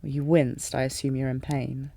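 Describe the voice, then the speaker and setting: A woman playing a lovesick warrior queen, regal yet fragile, in an intimate fantasy audio drama with formal, emotive, halting delivery. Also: a bass voice, flat delivery, gravelly, mellow, no room sound, heavily acted. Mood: stern, controlled. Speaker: audio roleplay performer.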